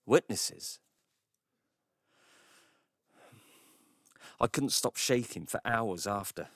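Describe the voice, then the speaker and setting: Low-voiced, animated neighbour man. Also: no echo, studio quality. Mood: shaken, reflective. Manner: colloquial, emotional, wry. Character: warm-hearted, indignant Cornish neighbour, a doting husband. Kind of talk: audio drama monologue, confiding storytelling.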